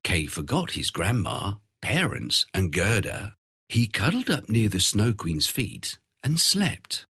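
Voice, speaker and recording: A man narrating a fairy tale from a script in an expressive storytelling manner. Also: lowish voice, takes it slowly, breathy edge.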